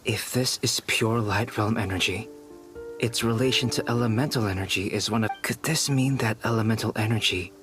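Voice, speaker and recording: Low male voice, actor as calm game alchemist, erudite, precise, composed, music playing behind. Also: moderate pace, close to an everyday voice. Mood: absorbed, musing. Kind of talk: scripted video game voice acting.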